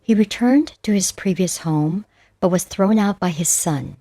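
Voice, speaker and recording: A woman reading scripted spiritual stories and verses as a narrator, in a measured, solemn manner. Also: medium pitch, mellow, dry recording. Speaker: audiobook narrator.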